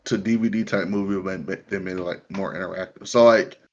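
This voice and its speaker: A man's voice: low-pitched, fairly even intonation, fast. A man talking casually as a panelist in a group discussion, heard over muffled online call audio. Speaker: panelist on an online pop culture show.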